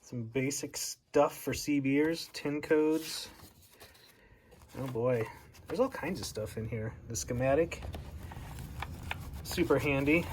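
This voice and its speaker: A man's voice: mid-range voice, takes it slowly, long pauses. An American man giving a casual, hands-on demonstration as a hobbyist, recorded at home with a furnace running. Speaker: hobbyist presenter of a radio overview. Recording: loud furnace noise behind.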